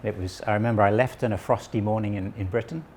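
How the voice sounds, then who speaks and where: A man around sixty, originally from Wales, calmly explaining local history and his website as an interview guest, with small restarts. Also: on the low side, fast talker, a little hiss or hum. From southwest Wales. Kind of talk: relaxed conversational explanation.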